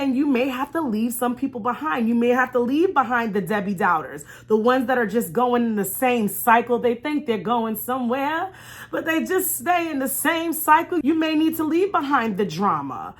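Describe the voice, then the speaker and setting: A woman giving a motivational vlog talk in an emphatic way, repeating key phrases and speaking straight to her viewers. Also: a little high. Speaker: vlogger and life coach. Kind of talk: motivational storytime vlog with faith-based encouragement.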